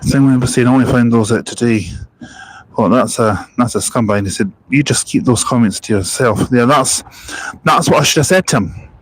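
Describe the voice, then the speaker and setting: A man's voice: mid-range voice, normal speed, slightly rough, poor audio. A young man chatting casually on a live stream at a bus park and ride, rambling between stories, spotting passing buses and reading out viewer comments.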